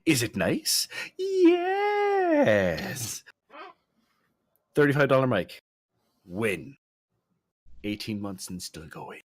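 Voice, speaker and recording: A man's voice: mid-range voice, drawn-out words, pauses often, no echo, studio quality. A man, a guest streamer, chatting emphatically on a podcast through a budget desk mic. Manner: lively, storytelling, emphatic. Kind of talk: casual podcast chat. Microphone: budget desk mic through a mixer.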